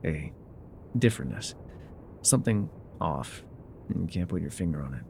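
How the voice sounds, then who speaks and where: An actor playing a gruff, guarded male trail guide in an immersive audio roleplay, with wry, folksy, laconic phrasing. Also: sits low, animated, moderate pace, mellow, a little hiss or hum. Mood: quiet, awed. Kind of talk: immersive ASMR roleplay acting, talking to the listener.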